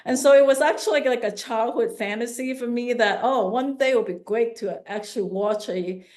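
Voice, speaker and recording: Thoughtful, halting woman artist in her fifties. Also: on the high side, darkish tone. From Hong Kong. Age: 50s. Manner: halting, thinking aloud with self-corrections. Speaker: visual artist giving an artist talk. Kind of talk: conversational artist talk, answering questions.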